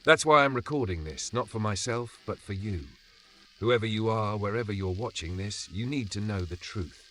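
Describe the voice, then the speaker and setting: A man narrating a scripted first-person horror story in a dramatic, measured way with pauses. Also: on the low side, bright, forceful tone, a little hiss or hum. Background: background music.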